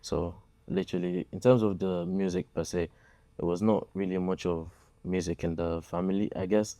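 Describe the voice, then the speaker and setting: A man's voice: low voice, fairly even intonation, moderate pace, warm tone, no room sound. A young man from Nigeria, a musician being interviewed, talking casually and hesitantly with many fillers. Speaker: guest musician.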